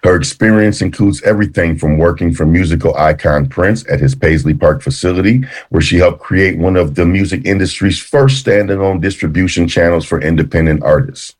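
A man hosting a podcast interview, reading a guest's introduction and then chatting and joking with the guest. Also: low-pitched. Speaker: podcast host. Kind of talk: casual interview.

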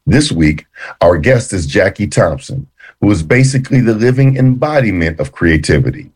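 Low-voiced man hosting an interview podcast. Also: animated, moderate pace, breathy edge. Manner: reads guest intro, then chatty and joking. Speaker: podcast host. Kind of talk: casual interview.

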